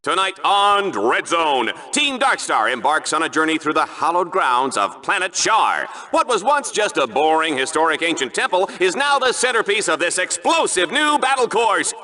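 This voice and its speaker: A man's voice: high-pitched, sing-song, moderate pace, hardly any pauses, sharp tone, full character voice. A man playing a smarmy, gleeful announcer of a deadly combat sport in a video game, with showy, mock-dramatic delivery. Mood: dramatic hype. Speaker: sports show host.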